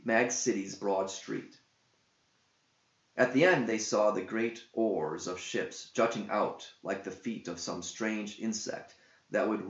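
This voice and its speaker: A man reading a verse epic aloud as a theatrical, declamatory storyteller. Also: fairly low pitch. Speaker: narrator, author reading own verse epic. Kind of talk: dramatic scripted verse narration. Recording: dull roomy echoing home recording.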